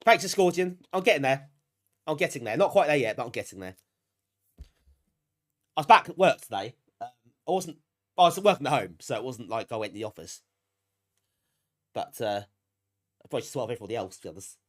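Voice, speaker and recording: A man's voice: medium pitch, very animated, fairly quick, long pauses, fairly bright tone. A British man with a bunged-up nose from a cold, chatting casually and self-deprecatingly to viewers as a live streamer, appreciative of them. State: bunged up, getting over a cold. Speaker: live streamer hosting a retro gaming stream.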